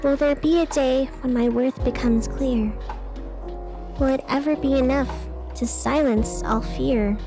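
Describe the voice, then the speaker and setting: A woman's voice: high voice, fairly even intonation, measured pace, over music. A woman playing a wistful, self-doubting night radio host in a scripted audio drama, speaking in an earnest, confiding way. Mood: yearning, poetic.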